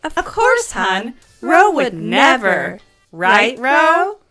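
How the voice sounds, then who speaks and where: A woman's voice: high voice, very animated, extremely slow, fairly bright tone, faint music behind, exaggerated voice. A woman playing a brassy, motherly diner hostess in an audio drama, with a sassy, folksy way of talking. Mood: teasing, probing.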